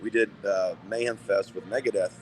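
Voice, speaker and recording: A man, answering interview questions in a relaxed, plain-spoken way with many ums and restarts. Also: fairly low pitch, a little fast, poor audio. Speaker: metal band vocalist, guest.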